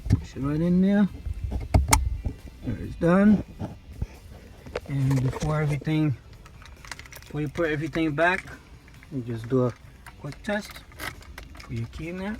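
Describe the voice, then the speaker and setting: A man's voice: fairly high pitch, expressive, unhurried, pauses often, background noise. A man from Brazil with a Brazilian Portuguese accent, casually explaining a car repair while working and filming on a phone in one hand, with loose, repetitive stop-start talk. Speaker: DIY car repair youtuber. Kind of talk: casual how-to demonstration. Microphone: phone held in one hand.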